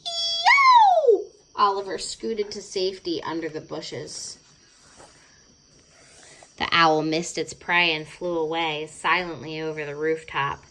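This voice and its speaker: Low-voiced, unhurried woman reading a storybook. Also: steady tone, sharp tone, poor audio. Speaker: storybook narrator. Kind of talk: expressive children's read-aloud.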